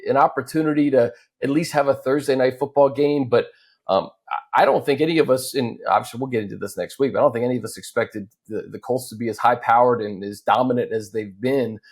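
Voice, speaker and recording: A man's voice: mid-range voice, quick pace, husky. An American man hosting a casual, unscripted sports talk show with his co-hosts. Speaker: host.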